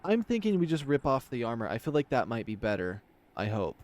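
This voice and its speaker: A man's voice: mid-range voice, very animated, a little fast, darkish tone. A man narrating a visual novel aloud as a let's player, switching between story reading and casual commentary. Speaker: video game let's player.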